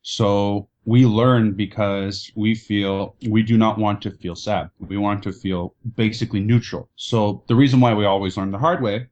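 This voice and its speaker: Low-pitched man explaining his view. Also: steady tone, normal speed, hardly any pauses, a little mellow, muffled recording, a little hiss or hum. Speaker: podcast panelist. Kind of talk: casual opinionated discussion.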